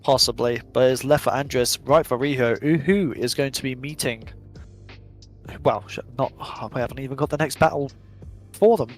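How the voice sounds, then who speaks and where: A man's voice: mid-range voice, moderate pace. A man with an English accent giving live, chatty commentary on virtual drift racing, occasionally stumbling over his words. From England. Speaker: esports commentator. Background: music.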